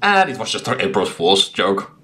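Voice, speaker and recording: A man's voice: medium pitch, animated, moderate pace, fairly bright tone. A man in his twenties giving hyped live stream commentary, often repeating his phrases. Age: 20s. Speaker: game streamer. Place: small home room with kitchen. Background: music.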